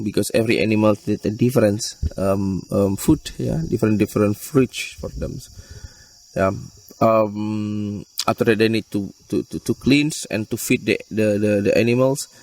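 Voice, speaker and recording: A man's voice: lowish voice, darkish tone. Earnest Indonesian man heard from a distance. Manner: earnest, halting non-native English with frequent fillers. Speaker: rescue center manager. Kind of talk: interview answers. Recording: voice picked up from a distance.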